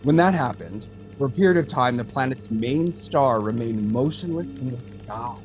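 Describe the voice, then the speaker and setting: An actor playing an experienced, thoughtful male alien data center technician in an audio drama, explaining things in a measured way. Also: medium pitch, animated, darkish tone, soft background music, close to an everyday voice.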